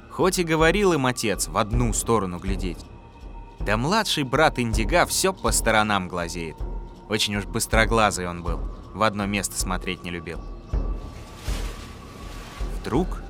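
A man narrating a folk tale in a calm, rhythmic storytelling voice. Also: fairly low pitch, a little slow, long pauses. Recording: clear music behind.

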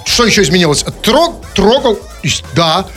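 A man's voice: high voice, sing-song, moderate pace, fairly bright tone. A man hosting a casual comic radio show, bantering in a mock-serious, digressive way with teasing rhetorical questions. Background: music.

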